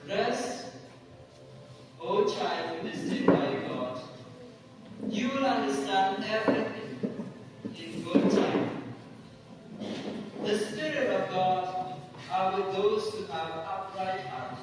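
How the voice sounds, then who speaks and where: A man acting a solemn, gentle heavenly spirit in an amateur stage play, proclaiming slowly in an echoing hall. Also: high-pitched. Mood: solemn, soothing. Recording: very echoey hall, distant mic, noisy.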